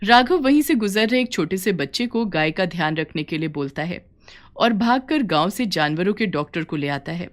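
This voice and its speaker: A woman's voice: lowish voice, expressive, moderate pace. A woman narrator reading a scripted story.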